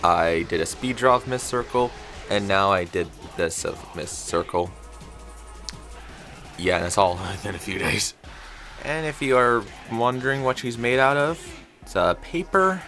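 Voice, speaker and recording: A man with a low-pitched voice presents a homemade craft in a casual video. He talks informally and rambles, often halting mid-thought. Music plays behind him. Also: sharp tone. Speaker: craft video creator showing a homemade puppet. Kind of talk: casual showcase narration. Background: background music.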